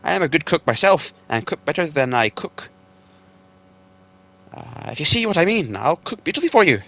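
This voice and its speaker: A man's voice: fairly high pitch, big pitch swings, fast talker, mellow, voice pushed up. A man reading aloud, voicing a small, frightened male hobbit who stammers and pleads politely.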